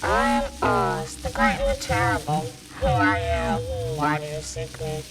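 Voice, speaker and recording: A woman playing an imperious wizard in the form of a fireball in an audio play, booming slowly and commandingly. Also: big pitch swings, full character voice, rough recording. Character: great and terrible wizard, imperious ball of fire. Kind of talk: stage-style audio play acting.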